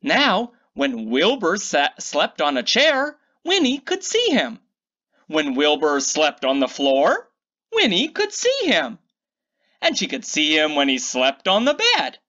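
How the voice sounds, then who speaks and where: A woman narrates a children's storybook in a theatrical read-aloud style. Her pace is unhurried and her pitch swings widely, stretching key words for emphasis. The recording sounds a little dull and lo-fi. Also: huge pitch swings, fairly bright tone.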